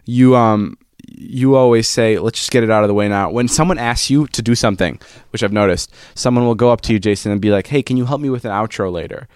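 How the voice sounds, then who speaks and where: A man's voice: on the low side, quick pace, hardly any pauses, darkish tone, clean tone, dry recording, studio quality. A young man co-hosting a casual podcast, telling stories with a joking manner and frequent restarts. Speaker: podcast co-host.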